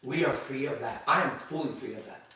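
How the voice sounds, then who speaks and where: A man hosting a men's group discussion who preaches in a forceful, confrontational way and repeats himself. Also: mid-range voice, a little fast, slight background noise. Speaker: host and leader of a men's group. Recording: very echoey room, distant mic.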